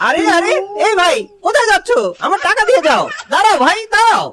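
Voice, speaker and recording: A woman's voice: very high-pitched, big pitch swings, fast talker, a bit bright, full character voice. A woman voicing a put-upon, complaining male jackal auto-rickshaw driver in a cartoon. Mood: indignant. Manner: complaining, self-pitying. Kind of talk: cartoon voice acting.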